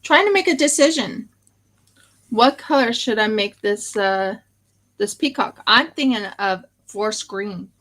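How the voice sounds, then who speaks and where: American woman, craft streamer, slow and hesitant, casual. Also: medium pitch, expressive, fairly bright tone. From United States. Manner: unhurried, hesitant, thinking aloud with fillers. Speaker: crafter hosting a resin livestream. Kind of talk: casual chat.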